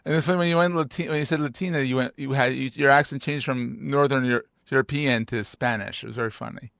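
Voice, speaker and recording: A man with a Philadelphia accent hosting a podcast interview, talking in an animated, joking way with emphatic repeats. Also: mid-range voice, fast, gravelly, no echo, clean studio sound. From United States. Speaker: podcast host. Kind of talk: casual interview chat.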